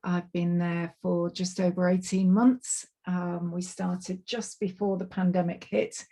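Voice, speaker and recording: A woman giving an online presentation on a video call, her voice a little croaky and tired, chatty and apologetic with lots of ums and restarts. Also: fairly low pitch, little pitch movement, a little slow, warm tone. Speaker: climate project manager giving a webinar talk. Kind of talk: online presentation, loosely scripted. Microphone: computer mic on a video call.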